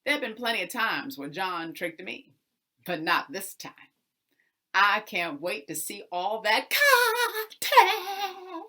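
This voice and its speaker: Woman playing a loud, blustering greedy landowner. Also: mid-range voice, big pitch swings, takes it slowly, pitched above the performer's own voice, full character voice. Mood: gloating. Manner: loud, bossy, spluttering when thwarted. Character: blustering, greedy, scheming male farm landowner.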